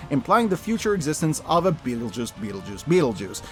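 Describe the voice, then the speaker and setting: A man in his thirties giving an opinionated scripted review commentary, sarcastic, animated and emphatic in his delivery. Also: a little high, a little fast, faint music behind. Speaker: video host reviewing films.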